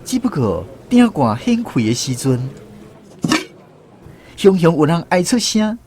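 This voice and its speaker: A man with a Taiwanese accent narrating a radio drama as an expressive scripted storyteller, over music. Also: on the high side, unhurried, background noise.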